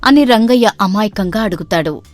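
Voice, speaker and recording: A woman storyteller narrating a comic bedtime tale at a steady pace. Her voice sits at an ordinary pitch, and soft music plays faintly behind her. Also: moderate pace, dry recording.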